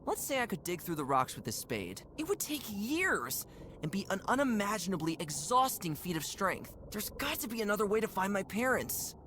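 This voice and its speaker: Young animal hero for cartoon game: determined, earnest, mid-pitched with very animated intonation. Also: female voice, normal speed, a little hiss or hum. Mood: thoughtful, determined. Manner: earnest, expressive. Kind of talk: cartoon adventure game acting.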